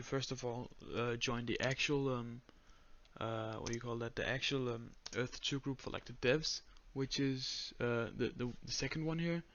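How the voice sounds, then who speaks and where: A young man with a Danish accent giving a step-by-step screen-recorded tutorial in a flat, monotone voice full of "uh"s and "um"s. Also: medium pitch, lo-fi sound. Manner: monotone, many fillers. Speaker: tutorial creator.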